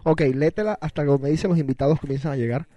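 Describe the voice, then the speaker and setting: A man's voice: a little high, fast, highs cut off, over music. A man hosting a casual radio talk show, teasing his co-host and joking around. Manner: teasing, playful, chatty with restarts.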